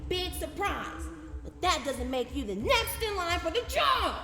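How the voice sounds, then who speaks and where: Woman voicing a squeaky, excitable little boy. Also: measured pace, big-room sound, music in the background, heavily acted. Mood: indignant. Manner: whiny, exclamatory, dramatic. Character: excitable, bratty young boy, little brother, easily scared. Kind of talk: radio drama acting.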